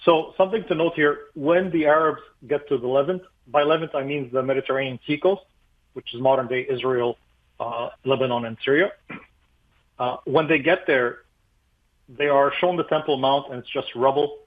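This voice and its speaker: A man's voice: mid-range voice, steady tone, moderate pace. Brief: male pastor, Arabic native speaker, lecturing guest, tinny phone-line sound. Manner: emphatic, lecturing, many fillers. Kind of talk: radio interview, explaining history.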